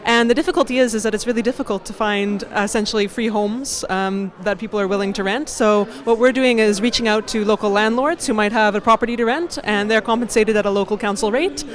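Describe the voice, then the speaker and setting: A woman, a refugee volunteer giving brisk, informative interview answers. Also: medium pitch. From Canada.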